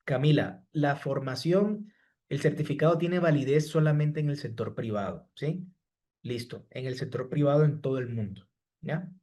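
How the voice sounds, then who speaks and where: A man running a live online course, reading out students' chat questions and answering each one by name. His voice sits at an ordinary middle pitch, and he checks in after almost every sentence. He talks in a patient, repetitive way. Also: moderate pace, darkish tone. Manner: repeats "¿sí?" and "estimados" after each point.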